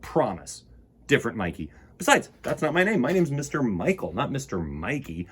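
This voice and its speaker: A man's voice: medium pitch, big pitch swings, moderate pace, fairly bright tone, breathy edge. A man hosting a children's storytime, reading and chatting to young listeners in a playful, whimsical, rambling way. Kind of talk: picture-book reading and playful chat to children.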